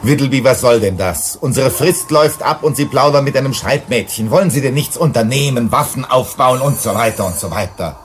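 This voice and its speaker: An actor in a German radio play, playing a hard-nosed, impatient company director with a curt, scornful manner. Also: male voice, medium pitch, lively intonation, a little fast, few pauses, soft background music, lightly acted.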